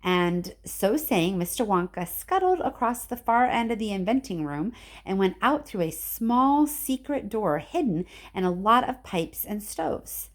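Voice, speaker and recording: A woman's voice: mid-range voice, lively intonation, unhurried. Female storyteller for kids, mid-range voice, informal and friendly, chatty read-aloud delivery. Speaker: reader addressing children. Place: at home. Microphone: cell phone camera.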